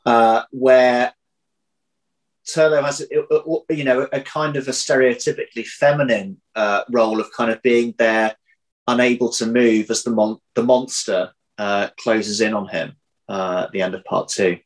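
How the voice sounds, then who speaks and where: A man in his thirties with a mid-pitched voice talks through his writing in an interview. He is reflective and conversational, often breaking off to rephrase, and plays himself down modestly. He speaks indoors at home, a little way from the computer. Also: steady tone, low-quality recording. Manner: thoughtful, halting, self-deprecating. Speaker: interviewed audio drama writer. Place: domestic room indoors.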